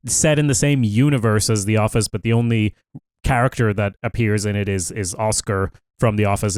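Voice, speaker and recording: A man's voice: on the low side, a little mellow, clean tone, no room sound. A man co-hosting a podcast, chatting casually about TV shows and thinking aloud with fillers. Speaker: podcast co-host. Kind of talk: casual TV review chat.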